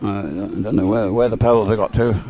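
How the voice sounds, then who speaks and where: A man giving live race commentary in a low, very mellow voice. He thinks aloud in a hesitant, slightly puzzled way as he follows the boats. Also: fairly even intonation, a little fast. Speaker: sports commentator.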